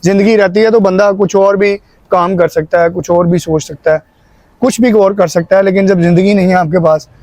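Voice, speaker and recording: Man, clearly high-pitched, Pakistani accent, earnest pleading host, conversational advice, frequent check-in tags, dry recording. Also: steady tone, moderate pace, a little mellow, dry recording. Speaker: YouTube channel host.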